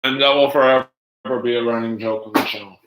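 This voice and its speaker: A man hosting a livestream in casual chat, rambling haltingly and jumping between asides as he talks to viewers. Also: mid-range voice, steady tone, a bit bright, slight background noise, low-quality recording. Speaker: livestream host.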